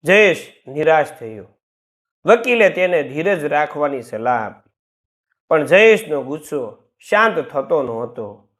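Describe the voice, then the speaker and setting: A man narrates a moral story with dramatic expression. His voice swings widely in pitch, giving the telling a lively, sing-song shape. It sits a little higher than most men's. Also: measured pace.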